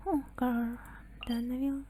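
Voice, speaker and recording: A woman gaming creator giving casual gameplay commentary in a halting, hesitant way, with game music behind her. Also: sits high, level tone, warm tone, slight background noise.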